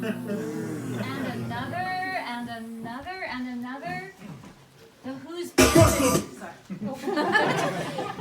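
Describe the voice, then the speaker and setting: A woman hosting a live puppet show, speaking informally to an audience and narrating. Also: sits high. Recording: echoey live room, distant mic, loud music and crowd.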